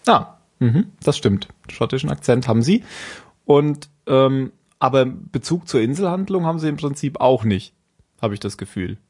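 A man's voice: mid-range voice, animated, moderate pace, slightly rough. Chatty man rambling through a recap. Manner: rambling, self-correcting, with frequent fillers and laughs. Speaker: podcast co-host. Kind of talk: casual chat recapping a TV episode.

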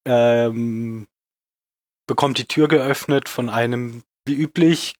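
A man's voice: medium pitch, no echo. A man with a cold co-hosting a casual series recap, retelling scenes plainly with dry humour. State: has a cold. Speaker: podcast co-host.

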